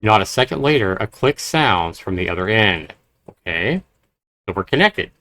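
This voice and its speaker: A man livestreaming a horror game, reading dramatically and commenting casually, with loud game sound behind his voice. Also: on the low side, sing-song, normal speed, no echo. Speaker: game streamer. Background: horror game audio.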